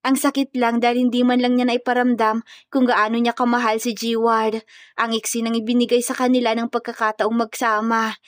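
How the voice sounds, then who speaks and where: A female storyteller narrates a scripted romance drama. Her voice is fairly high, and the recording is close and dry. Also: little pitch movement, moderate pace, dry recording.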